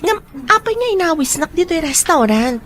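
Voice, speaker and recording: A woman acting a lonely, modest young office worker who speaks softly and shyly in a radio drama. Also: extremely high pitch, very animated, a little fast, full character voice. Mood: gentle.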